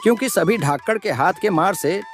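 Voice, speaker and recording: A man's voice: sits high, expressive, normal speed, faint music behind. Man acting anxious village headman explaining troubles. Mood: fearful. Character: anxious village headman reporting a bandit's cruelty. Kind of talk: animated moral story dialogue.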